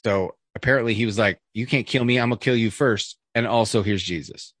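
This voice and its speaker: A man's voice: fairly low pitch, brisk, fairly bright tone, no room sound. Dry, close-sounding man chatting casually. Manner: jokey asides, many fillers and restarts. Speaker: podcast co-host. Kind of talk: casual chat, reading a list.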